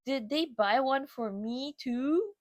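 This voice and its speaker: A woman's voice: sits high, lively intonation, slow, dry recording, clean studio sound. Woman voicing a lazy, drawling male bulldog. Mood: amazed, doubtful. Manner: drawling, sluggish delivery. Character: lazy, bored, slow-talking male bulldog, laid-back and skeptical. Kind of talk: children's storybook character voice.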